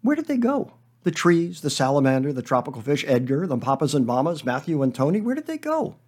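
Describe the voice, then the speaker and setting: A man's voice: fairly high pitch, huge pitch swings, a little fast, a little mellow. A man voicing a class of earnest, pleading, oddly philosophical children in a story, insistent and formally worded. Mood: questioning. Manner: insistent, questioning, formal wording.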